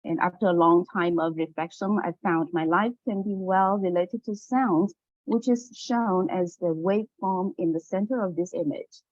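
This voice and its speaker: A woman whose first language is Chinese presents at an academic symposium in a careful, earnest way, pausing on soft fillers. The sound is dull and lo-fi with a very dry, close feel. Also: fairly low pitch, dry recording. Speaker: graduate student presenter.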